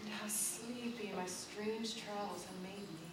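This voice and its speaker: A woman reading literary fiction aloud as a dramatic, deliberate stage narration in a theatre. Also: mid-range voice, fairly even intonation. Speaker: stage reader. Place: live theatre performance. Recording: echoey theatre, distant mic, noisy.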